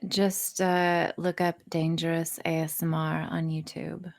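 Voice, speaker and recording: A woman's voice: deep voice, level tone, unhurried, creaky. Playful, sweary woman in casual chat. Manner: playful, sweary, self-mocking. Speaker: podcast guest, audio roleplay creator.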